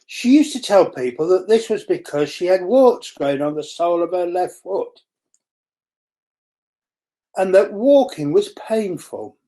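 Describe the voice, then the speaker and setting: Woman, storybook narration, mellow tone, very expressive pitch movement, leisurely pace. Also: a little slow. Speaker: school staff member reading aloud.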